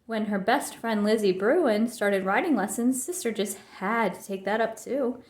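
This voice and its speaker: A woman's voice: medium pitch, normal speed, reverberant. A woman narrating a children's storybook as a scripted read-aloud.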